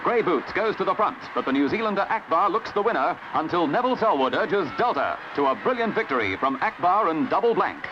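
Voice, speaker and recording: An Australian man reading scripted 1950s newsreel narration in a brisk, colourful announcer's delivery, on a vintage soundtrack. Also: high-pitched, sing-song, lo-fi sound, background noise. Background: music.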